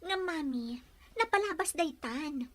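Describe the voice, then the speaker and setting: A woman's voice: high-pitched, lively intonation, a little slow, a bit bright. A woman playing a young adult daughter in an Ilocano audio drama, earnest, pleading yet firm. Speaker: voice actor in a radio-style drama. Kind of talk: Ilocano radio drama acting.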